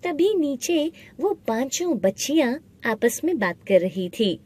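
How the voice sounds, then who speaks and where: A woman narrating a scripted animated story, with music playing behind her voice. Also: high voice, unhurried, breathy edge, dry recording. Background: background music.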